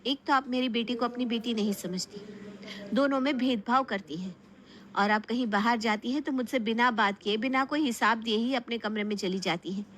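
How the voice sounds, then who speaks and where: An accusing young sister-in-law, acted by a woman narrator, complains in a raised, high voice. Her manner is indignant and reproachful, in a family storybook tale. Noise is audible behind her. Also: moderate pace, a bit bright, natural voice. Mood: resentful, accusing. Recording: clear background noise.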